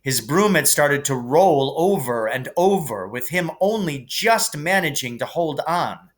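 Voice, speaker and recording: Slow-reading male storybook narrator. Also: on the high side, expressive. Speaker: reader.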